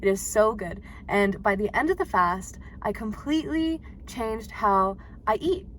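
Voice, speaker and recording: A woman's voice: medium pitch, a little slow, a little hiss or hum. Chatty young woman in her twenties. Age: 20s. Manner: chatty, laughs often, animated. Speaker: vlogger. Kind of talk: casual talk to camera.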